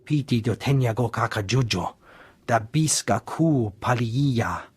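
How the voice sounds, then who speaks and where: Husky alien in invented tongue. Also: male voice, medium pitch, expressive, unhurried. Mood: insistent. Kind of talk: dramatic video game acting in invented alien language.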